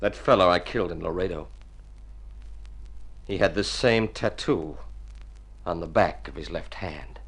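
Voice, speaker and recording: Young texas outlaw in an old radio play, deep voice, terse and threatening. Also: male voice, measured pace, pauses often, a bit bright, a little hiss or hum. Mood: calm, sure. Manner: menacing, resolute, terse. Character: gruff young Texan outlaw posing as a long-lost son. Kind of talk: radio drama acting.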